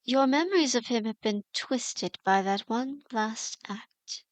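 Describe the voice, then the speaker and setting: A woman playing a compassionate mystic healer in a fantasy audio drama, measured, soothing and solemn. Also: a little high, no echo, natural voice.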